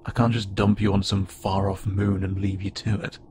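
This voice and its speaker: A man voicing a friendly, curious, slightly awkward alien spacefarer in a conversational audio roleplay, speaking haltingly and wryly. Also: low-pitched, completely flat delivery, heavy background noise, natural voice. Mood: reassuring, earnest. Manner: casual, halting, gently wry. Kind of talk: conversational audio roleplay acting to an unheard listener.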